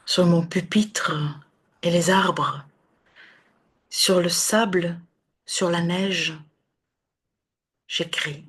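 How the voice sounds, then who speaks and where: A woman solemnly reciting poetry in a measured, deliberate way with long pauses, her voice breathy and often falling to a near whisper. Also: on the low side, steady tone.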